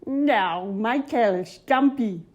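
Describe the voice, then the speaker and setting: A woman's voice: a little high, a little mellow. Lady doing a big scruffy storybook monster, slurpy n whiny, trying way too hard to be scary. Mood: slurping. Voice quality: slobbery, slurping. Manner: drawn-out, mock-menacing and whiny.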